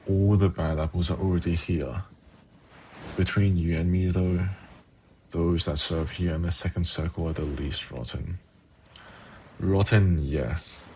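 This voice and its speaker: Demon prince, male performer: rock-bottom pitch, heavy fry, suave and teasing, intimate ASMR roleplay. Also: mellow, a little hiss or hum. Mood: musing. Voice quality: very low, gravelly, husky. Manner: slow, coaxing, teasing. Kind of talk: ASMR fantasy roleplay, intimate monologue to listener.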